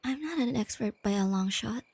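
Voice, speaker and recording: A woman playing a shy, nerdy young girlfriend in a soft-spoken ASMR roleplay, sweet and earnest, explaining things gently and without hurry. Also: a little high, expressive, brisk, creaky, slightly rough, no room sound, exaggerated voice. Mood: gentle, informative.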